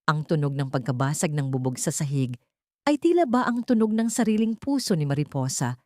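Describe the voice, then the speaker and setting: Woman, dramatic story narration, somewhat deep pitch, close dry sound. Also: expressive, brisk, mellow, no room sound. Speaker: audiobook narrator.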